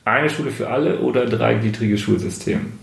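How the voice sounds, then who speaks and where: A man hosting an interview, asking friendly questions with many fillers and quick laughs. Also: mid-range voice, gravelly. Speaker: interviewer, podcast host. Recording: roomy echo.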